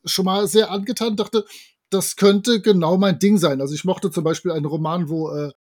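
A man with a high-pitched voice chats casually as a podcast guest. His delivery is playful and jokey, in loose everyday conversation. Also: fairly even intonation, a little fast, a little mellow.

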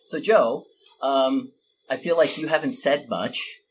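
A man's voice: mid-range voice, a little slow, husky, rough recording. A man hosting a casual podcast chat in a joking, teasing manner. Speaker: podcast host.

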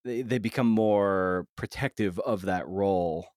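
A man hosting a podcast in a conversational interview style, often halting and repeating words as he thinks his way through. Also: fairly low pitch, darkish tone, no echo, clean studio sound. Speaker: podcast host.

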